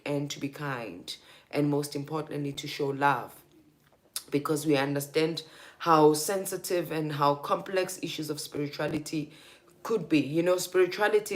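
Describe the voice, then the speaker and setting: A South African woman teaching informally on a livestream as a spiritual healer, speaking earnestly in deliberate, repeated phrases. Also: low voice.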